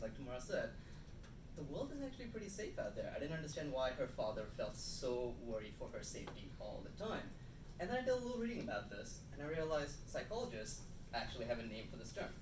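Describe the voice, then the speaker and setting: Distant, echoey man giving a public talk. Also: medium pitch. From India and Singapore. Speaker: public speaker. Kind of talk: storytelling public speech to a live audience. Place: indoor room with an audience, recorded from off. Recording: distant mic in echoey, noisy room.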